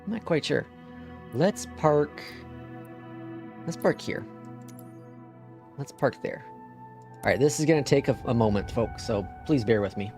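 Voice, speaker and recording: A man's voice: medium pitch, expressive, unhurried, pauses often, a little mellow, soft background music. A man with a Midwestern accent who streams a flight simulator, chatting casually and muttering to himself as he works the controls, with some mild swearing. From Upper Midwest (Minnesota/North Dakota). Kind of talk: casual live-stream commentary.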